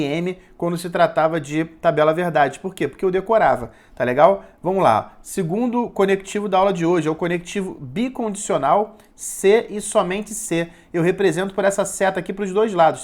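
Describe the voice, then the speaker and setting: A man teaching a recorded logic lesson, patient and encouraging, often pausing to check in with his students. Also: sits high. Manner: encouraging, informal classroom talk.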